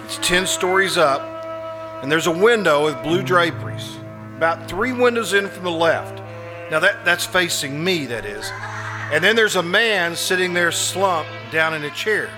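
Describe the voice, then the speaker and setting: A man's voice: sits high, lively intonation, moderate pace, music in the background. A man playing an earnest, anxious, nosy neighbour, hesitant but insistent, in a live old-time radio suspense play. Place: live performance at a winery.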